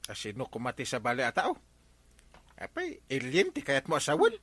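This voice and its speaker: A man's voice: mid-range voice, wildly swooping pitch, normal speed, fairly bright tone. A man with an Ilocano accent playing a bumbling, easily flustered village captain in a comedy drama, hesitant and stammering. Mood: indignant.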